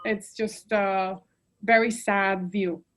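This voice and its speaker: A woman's voice: medium pitch, slow. A woman in her thirties from Poland, speaking English, chatting casually and listing details expressively. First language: Polish. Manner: expressive, lists details, frequent "like" fillers. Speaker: guest. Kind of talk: casual chat.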